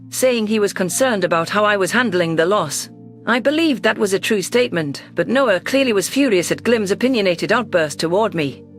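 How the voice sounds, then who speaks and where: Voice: medium pitch, fairly bright tone. Dramatic first-person story narrator over music. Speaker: narrator of sci-fi audio stories.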